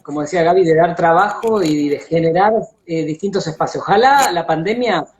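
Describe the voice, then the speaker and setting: An Argentine man hosting a casual, enthusiastic conversation, speaking haltingly and repeating words as he thinks aloud over a video call. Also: fairly high pitch. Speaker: interview host. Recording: dull lo-fi live-stream audio.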